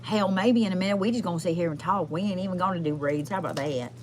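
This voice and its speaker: A woman's voice: lowish voice, quick pace, lightly acted. Woman putting on a sassy, twangy Southern gal, noisy background. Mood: casual, folksy. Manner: sassy, chatty, swearing, folksy endearments. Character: Lurleen, sassy foul-mouthed folksy Southern woman giving readings. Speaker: livestream host doing readings. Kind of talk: casual livestream chat in character.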